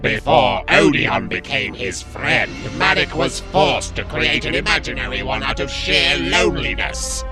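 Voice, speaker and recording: Deranged cartoon villain, slow relishing menace, theatrical, very loud music behind. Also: male voice, medium pitch. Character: gleefully psychotic villain, a boy's evil alter ego. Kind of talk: comedic cartoon parody dub.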